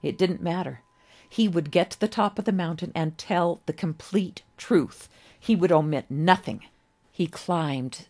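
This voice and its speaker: A woman reading literary fiction aloud as an author would, with an expressive, dramatic storybook delivery and deliberate pauses. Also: fairly low pitch. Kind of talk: expressive scripted story narration.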